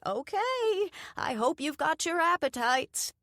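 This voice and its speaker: A woman voicing a cheerful, fussing elderly woman who dotes on her guest, in a high, loud, slow, doting voice. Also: very animated, no room sound, clean studio sound, full character voice. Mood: insistent, bossy.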